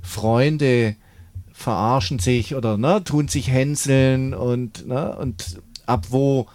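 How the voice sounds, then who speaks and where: A man's voice: mid-range voice, steady tone, slow pace, a little mellow, dry recording. A man in a casual round-table discussion who explains his points and keeps adding tag questions. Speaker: discussion participant.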